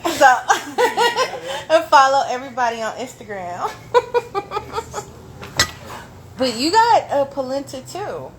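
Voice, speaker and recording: A woman's voice: high-pitched, big pitch swings, crowd noise. A woman hosting a dinner event in casual chat, upbeat and giggly. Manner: upbeat, giggly, slow-spoken. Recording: noisy dinner ambience.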